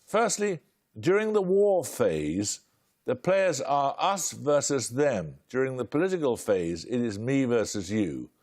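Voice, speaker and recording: An older British man hosting a studio quiz show, reading questions in a brisk, dry, clipped way. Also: mid-range voice, big pitch swings, a little mellow. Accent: British English. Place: television studio.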